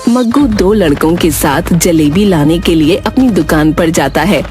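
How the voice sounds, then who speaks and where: A woman's voice: on the low side, measured pace, darkish tone, faint music behind. A woman reading scripted narration for a children's moral story.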